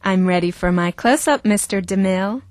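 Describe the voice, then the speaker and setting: A woman plays a cool, seductive actress with a ruthless streak in an audio drama. Her delivery is theatrical and self-assured, at a middling pitch, recorded very dry. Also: moderate pace, a little mellow, no room sound, close to an everyday voice.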